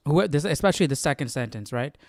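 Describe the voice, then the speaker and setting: A man's voice: fairly high pitch, animated, fast talker, vocal fry, a little mellow, no room sound, clean studio sound. A man hosting a casual live Bible study, musing aloud and often checking with a questioning tag. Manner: musing, tags sentences with "right?". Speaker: host.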